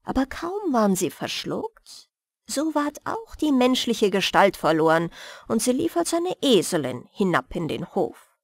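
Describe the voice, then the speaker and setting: A woman narrates a bedtime fairy tale with careful, expressive phrasing. Her voice sits a little high and moves in a lively way, recorded close and dry. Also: unhurried, gravelly, dry recording. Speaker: storyteller, audiobook reader.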